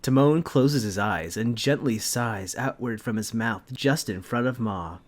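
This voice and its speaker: Male co-host for a mock dramatic reading, very unhurried delivery, sarcastic asides, prone to giggling. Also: mid-range voice. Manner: sarcastic, giggly, breaks into laughter. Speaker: co-host reading fan fiction aloud.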